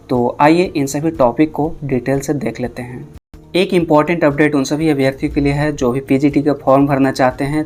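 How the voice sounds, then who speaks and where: Dark-voiced Indian man reading news updates. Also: medium pitch. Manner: repeats and rephrases points to explain. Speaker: host of an exam and job news channel. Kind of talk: news update narration, reading and explaining reports.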